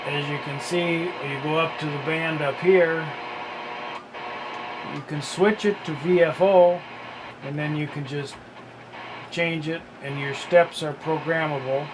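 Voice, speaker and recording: A man giving a casual, unscripted walkthrough of some gear, in a halting, matter-of-fact way. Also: a little high, steady tone, background noise. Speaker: radio hobbyist demonstrating gear.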